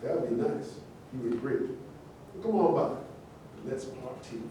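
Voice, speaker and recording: A man's voice: mid-range voice, lively intonation, moderate pace, mellow, lightly acted. A man acting a genial host who addresses the audience in a declamatory, inviting way at a staged reading. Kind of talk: theatrical rhymed verse, staged reading. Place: live staged reading in a roomy space. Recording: very echoey room, distant mic, faint noise.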